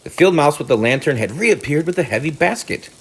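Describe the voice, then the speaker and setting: A man's voice: fairly high pitch, lively intonation, brisk, slightly rough. A man narrating a children's storybook as a teacher reading aloud.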